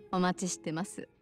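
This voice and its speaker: An actress playing a kind, soft-spoken bar owner with a polite, considerate manner in a video game cutscene. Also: female voice, fairly low pitch. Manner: polite, considerate, gently casual.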